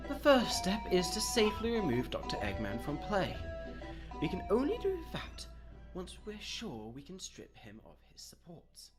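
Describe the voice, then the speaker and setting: A man's voice: high-pitched, wildly swooping pitch, moderate pace, over music. A man voicing a scheming mad-scientist platypus in a comic dub, pompous, articulate and condescending in a theatrical way.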